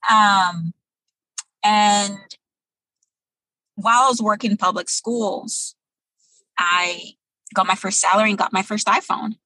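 Woman guest in her thirties, bright husky tone, dry close sound, measured answers with fillers. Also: medium pitch, steady tone, dry recording, low-quality recording. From Brazil. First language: Portuguese. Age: 30s. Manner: thoughtful, many um pauses. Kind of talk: interview answers.

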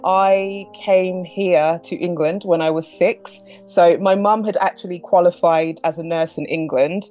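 A woman in her thirties, from Zimbabwe, introduces herself in an interview. She speaks in a measured, matter-of-fact way with a lowish voice. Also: fairly even intonation, darkish tone, soft background music. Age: 30s. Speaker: guest. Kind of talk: interview answers.

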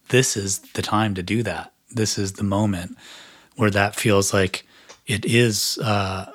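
A man's voice: lowish voice, fairly bright tone, no room sound. Chatty man with a dry, close sound. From Chicago. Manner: chatty, lots of fillers. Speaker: guest, artist. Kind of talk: interview talk.

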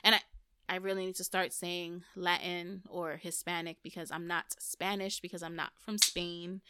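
A woman's voice: on the low side, flat delivery, normal speed, pushed and bright, clear, resonant tone, dry recording, studio quality. A young adult woman hosting a podcast, talking bluntly and emphatically in casual chat, swearing freely. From Dominican and Puerto Rican family, raised in the US. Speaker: podcast host.

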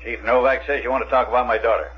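A man's voice: mid-range voice, level tone, rapid-fire, natural voice. A man plays a stubborn, proud old father in a seventies radio drama. He is curt, stiff and guarded, with an American accent, on a muffled recording with faint noise. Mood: guarded, defiant. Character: tall, thin, stooped old father, proud, stubbornly protective. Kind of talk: 1970s radio drama acting.

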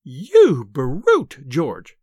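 Man acting a shrill, furious little scolding wife. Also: huge pitch swings, slow pace, no room sound, clean studio sound, heavily acted. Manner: screaming, wailing, indignant tirades. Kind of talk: audiobook character acting.

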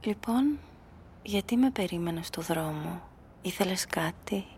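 An actress playing a calculating seductress who narrates her own story in a radio drama, measured and intimate. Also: on the low side, lively intonation, a little mellow, slight background noise. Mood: soft, guarded.

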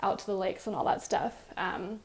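A woman's voice: mid-range voice, fairly even intonation, normal speed, poor audio. Upbeat young woman in casual chat. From Seattle, USA. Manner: upbeat, laughing easily.

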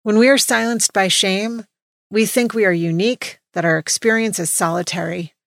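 A woman's voice: mid-range voice, steady tone, normal speed, vocal fry, fairly bright tone, dry recording, clean studio sound. A woman hosting a podcast, reading a scripted introduction to personal stories.

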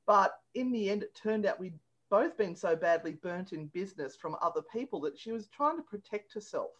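A woman's voice: medium pitch, lively intonation, normal speed, muffled recording. A woman, a guest telling stories in a playful, chatty way and laughing often. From Australia. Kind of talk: casual chat.

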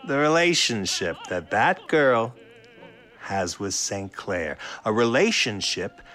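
A man playing a smooth, menacing 1920s gangster boss in an audio drama, speaking in a deliberate, insinuating way. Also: on the low side, expressive, sharp tone, soft background music, exaggerated voice. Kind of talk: period audio drama acting.

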